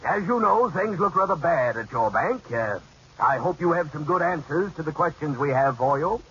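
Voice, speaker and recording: An actor playing a stern, suspicious bank examiner in a 1940s radio sitcom, pompous and interrogating, on a dull, faintly hissy old broadcast recording. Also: male voice, fairly high pitch, expressive, moderate pace, a bit bright. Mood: officious.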